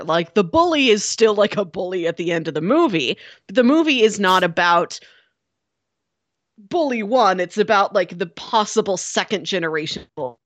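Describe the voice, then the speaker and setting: A woman's voice: fairly low pitch, no room sound. A woman co-hosting a podcast, talking through a film casually and conversationally, with many filler likes. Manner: conversational, peppered with "like". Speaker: podcast co-host.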